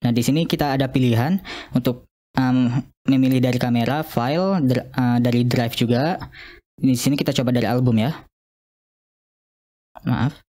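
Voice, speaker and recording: Young male, mellow and dark-toned, informal tutorial delivery with improvised, slightly hesitant phrasing. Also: medium pitch, fairly even intonation, normal speed, pauses often. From Indonesia. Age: young adult. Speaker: student presenter of an app demo. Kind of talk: informal screen-demo walkthrough.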